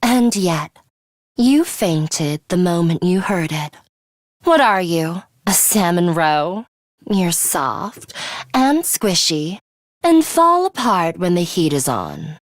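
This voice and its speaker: Stern, slow-spoken, low-voiced young woman. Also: very animated, dry recording, clean studio sound. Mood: blunt, explaining. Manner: curt, cool, deliberate, commanding. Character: stern, aloof, commanding young woman, a student fighter. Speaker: voice actress. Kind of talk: scripted video game character lines.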